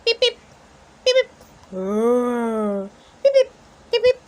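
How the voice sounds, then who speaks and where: A woman's voice: fairly high pitch, huge pitch swings, takes it slowly, darkish tone, voice pushed up, full character voice. A woman voicing a great big robot dinosaur in a storybook, alternating repeated beeps and roars. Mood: mechanical, loud.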